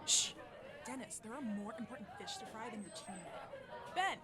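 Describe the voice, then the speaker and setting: A woman playing a bossy, mocking high school mean girl in an audio drama, snide and demanding. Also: lively intonation, normal speed, over a noisy crowd, lightly acted. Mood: bossy, impatient.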